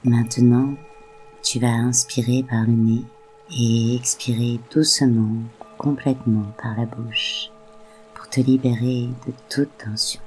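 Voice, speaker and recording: A soft, breathy voice guiding a meditation in a soothing, unhurried way with gently spaced phrases. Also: mid-range voice, flat delivery, soft background music. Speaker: guided meditation host. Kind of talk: slow soothing guided meditation narration.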